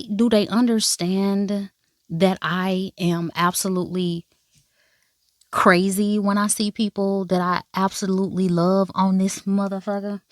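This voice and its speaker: A woman's voice: mid-range voice, a little mellow, dry recording, studio quality. Chatty, swearing woman drama vlogger. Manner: chatty, emphatic and playful, swears freely; talks straight to viewers, calling them baby and y'all. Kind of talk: casual first-impressions review.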